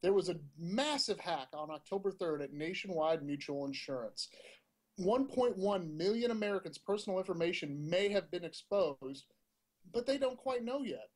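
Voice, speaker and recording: A man with a notably high voice co-hosts a tech news podcast. He delivers stories in a punchy, opinionated way and likes to put rhetorical questions to his listeners. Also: lively intonation, normal speed, darkish tone, low-quality recording. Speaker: podcast co-host. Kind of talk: casual tech news chat.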